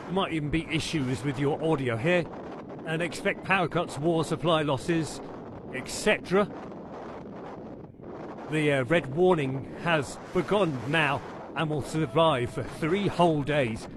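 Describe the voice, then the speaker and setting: Halting man acting as male storm news anchor, noisy. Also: lightly acted. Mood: grave, halting. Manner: halting, stumbling over words. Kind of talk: amateur mock breaking news report. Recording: noisy, windy background rumble.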